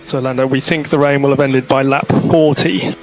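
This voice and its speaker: A man's voice: mid-range voice, little pitch movement, normal speed, soft background music. A man working as a race engineer on team radio, giving clipped instructions and repeating each one. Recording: noisy team radio, vehicle noise.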